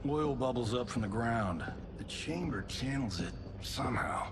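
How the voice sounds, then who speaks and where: An actor playing a wry, knowing adventurer exploring ancient ruins in a video game, with naturalistic delivery. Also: male voice, mid-range voice, lively intonation, normal speed, natural voice. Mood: wry, focused. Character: wry, knowing male treasure hunter exploring an ancient temple.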